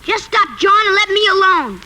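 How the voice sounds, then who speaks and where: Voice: very high voice, animated, moderate pace, bright, forceful tone, heavily acted. An actor playing an angry, grieving young frontier boy in a 1950s radio Western, with an American accent and stubborn, rustic speech. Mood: bitter defiance. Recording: noisy 1950s radio recording.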